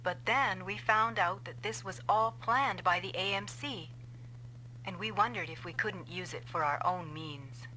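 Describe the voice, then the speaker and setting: An actress playing a vengeful female scientist in an anime-style game dub, explaining coldly and deliberately. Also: mid-range voice, bright, forceful tone, slight background noise, low-quality recording. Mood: grim, confessing. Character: vengeful female scientist confessing a revenge plot.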